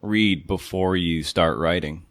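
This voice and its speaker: Deep-voiced male podcast host, dry sound. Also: a bit bright, dry recording. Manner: halting, repeats words as he forms questions. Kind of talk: interview questions.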